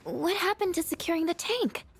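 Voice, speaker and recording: A woman's voice: very high-pitched, lively intonation, moderate pace, faint music behind. A woman playing an earnest, easily amazed teenage girl in a video game. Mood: puzzled.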